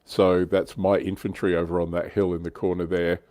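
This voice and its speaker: A man's voice: sits low, level tone, normal speed, a little mellow, no echo. A man chatting casually as he recounts tabletop wargame battles, somewhat hesitant as he pieces his thoughts together.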